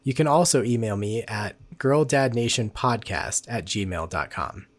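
A man's voice: medium pitch, measured pace, no echo. A fairly young man hosting a podcast in a casual, conversational style. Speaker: podcast host. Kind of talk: casual interview chat and podcast sign-off.

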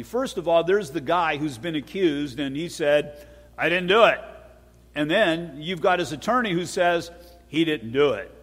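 A man preaching a sermon, repeating words and acting out voices as he tells a story. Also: fairly high pitch. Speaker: pastor. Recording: long hall reverb.

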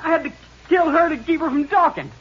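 An actor playing a nervous young murderer confessing in a 1950s radio drama, on a dull old recording. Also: male voice, very high voice, fast, a bit bright. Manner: nervous, laughing, pleading excuses. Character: young male killer, cornered and unstable.

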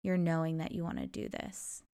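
A woman's voice: deep voice, lively intonation, moderate pace, dark tone, clear, resonant tone. A young adult woman hosting a solo podcast, talking in an earnest, encouraging way. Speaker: podcast host. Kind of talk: reflective solo podcast monologue.

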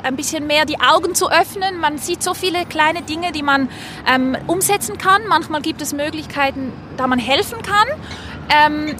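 A woman with a high, bright voice answers interview questions earnestly and off the cuff. She is recorded outdoors, with faint traffic and city noise behind her. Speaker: interviewee stopped on the street. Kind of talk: spontaneous casual interview answers. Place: outdoors on a street. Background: faint urban ambience and vehicles.

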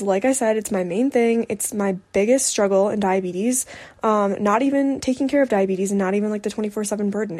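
Woman in her twenties, mellow soft-edged tone, medium pitch, candid and reflective, casual solo podcast talk. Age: 20s. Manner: candid, reflective, filler-heavy with frequent "like". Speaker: podcast host.

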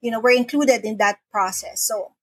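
Husky-voiced woman guest from the Philippines. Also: on the high side, moderate pace, no echo, clean studio sound. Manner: earnest, repeats words when starting sentences. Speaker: podcast guest. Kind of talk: casual interview talk. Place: home in the Philippines.

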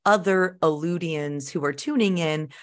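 Female podcast host, notably low pitch, conversational corporate interviewing. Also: moderate pace.

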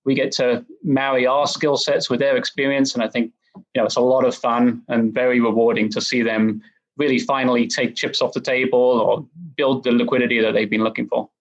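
A man from England with an English accent, a guest on a podcast interview, explaining his work in a measured, articulate way. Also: medium pitch, little pitch movement, muffled recording. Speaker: guest, M&A advisor.

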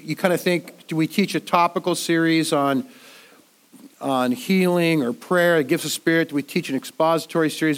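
High-voiced pastor with a congested cold. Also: male voice. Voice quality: nasal. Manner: conversational, repeats words while thinking. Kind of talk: sermon.

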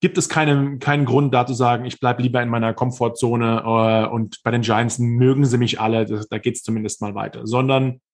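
A man in his thirties with a slightly husky, breathy voice, looking back on his sports career as an interview guest. He talks in long, winding sentences, pausing and hesitating as he thinks aloud, at a pitch typical for a man. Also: level tone, darkish tone. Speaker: guest, former pro football player. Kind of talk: reflective interview storytelling.

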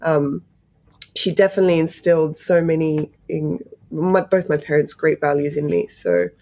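Thoughtful woman, lowish voice. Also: lively intonation, moderate pace, mellow. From Australia. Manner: thoughtful, many fillers and restarts, laughs lightly. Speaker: guest, climate correspondent. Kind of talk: casual interview. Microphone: video call.

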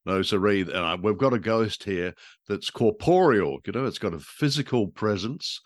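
A man's voice: on the low side, lively intonation, moderate pace, dry recording, clean studio sound. A man giving wry, wordy, hesitant commentary in a humorous discussion show. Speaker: podcast commentator. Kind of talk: humorous discussion of a radio drama.

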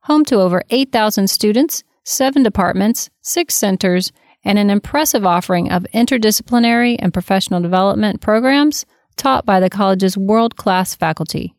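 A woman reading a scripted sponsor message in a measured, promotional way. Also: mid-range voice, a little mellow, no echo, clean studio sound. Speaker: sponsor announcer.

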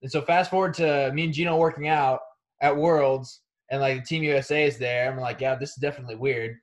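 A man's voice: medium pitch, fairly quick. A young man from Kansas City, a college wrestler, chatting casually in an interview with lots of fillers and restarts. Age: young adult. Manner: relaxed, rambling with many fillers.

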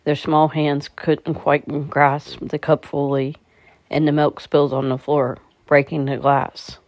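Woman guiding meditation, very even pitch. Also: slow, no echo. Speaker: meditation guide. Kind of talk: guided meditation narration.

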